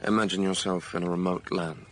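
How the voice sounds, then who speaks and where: An actor playing a commanding, brooding gentleman with a dark secret in a period radio drama, speaking tersely. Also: low-pitched, flat delivery, a little fast, slight background noise. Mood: confiding, troubled.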